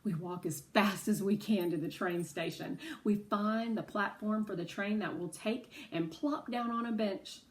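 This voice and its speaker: A woman narrates a children's story, sitting a little way from the microphone. Her delivery is expressive and dramatic, in the style of a read-aloud. Her pitch sits in a middle range. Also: a little slow, hardly any pauses, recorded from a distance. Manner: animated, dramatic storytelling with acted dialogue.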